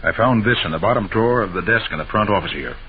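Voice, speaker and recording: An actor playing a shrewd, confident master detective with an assured, emphatic delivery in an old-time radio drama. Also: male voice, lowish voice, animated, fast talker, a crowd in the background, natural voice. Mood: confident, explaining. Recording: noisy, muffled old radio broadcast.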